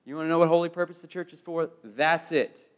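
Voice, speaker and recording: American male preacher, middle-aged, high voice, emphatic sermon delivery, repeated phrases, wide swings in volume. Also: quick pace. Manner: swings from loud proclamation to hushed phrases.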